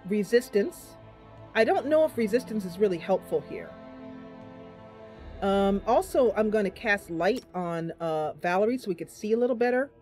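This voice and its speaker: Lady streaming a game, chatting to viewers and thinking out loud, normal pitch, bit of music behind. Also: expressive, moderate pace. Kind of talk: casual live gameplay commentary.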